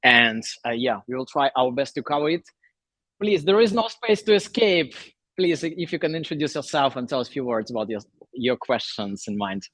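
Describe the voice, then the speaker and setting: A man's voice: mid-range voice, lively intonation, moderate pace, fairly bright tone, dry recording. A man hosting a startup workshop, calling on audience members and encouraging them to speak. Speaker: workshop host.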